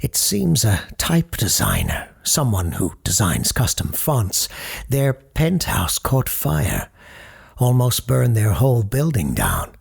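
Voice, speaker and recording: A man's voice: medium pitch, huge pitch swings, takes it slowly, creaky, husky, heavily acted. A man playing a courteous, grave parapsychologist who hosts a late-night paranormal radio show, speaking formally and with ominous understatement in an audio drama. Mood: courteous, wry.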